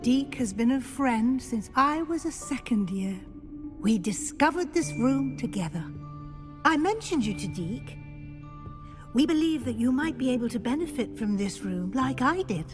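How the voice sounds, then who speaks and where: An actress playing a brisk, kindly middle-aged professor in a video game, giving crisp teacherly instructions. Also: female voice, high voice, expressive. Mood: warm. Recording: game soundtrack with clear music.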